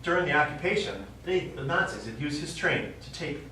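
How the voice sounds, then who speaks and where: An actor playing a stubborn old American war veteran and father, telling his memories haltingly in a stage play. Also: fairly high pitch, lively intonation, bright, forceful tone. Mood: wistful reminiscing. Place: small theater stage, recorded from the audience. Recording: distant, very echoey theater recording, faint noise.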